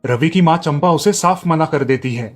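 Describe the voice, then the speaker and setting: A man's voice: fairly high pitch, normal speed. A man narrating a scripted cartoon story as the storyteller. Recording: music behind the voice. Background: music.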